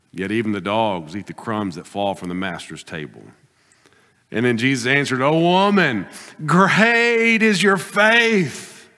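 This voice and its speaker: Slow, emphatic preaching man in a hall. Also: medium pitch. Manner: emphatic preaching, swings between loud exhortation and quiet asides. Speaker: pastor. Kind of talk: sermon. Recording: long hall reverb.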